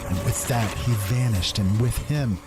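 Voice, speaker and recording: A man narrating a dark fantasy horror story in an earnest, dramatic way. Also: medium pitch, normal speed, heavy background noise.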